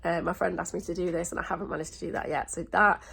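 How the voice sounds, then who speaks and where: A woman travel vlogger chatting casually and in a rambling way, sounding croaky and stuffed up with a sore throat. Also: fairly low pitch, fairly even intonation, fast talker, fairly bright tone. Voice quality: slightly croaky, congested. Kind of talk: casual vlog chat.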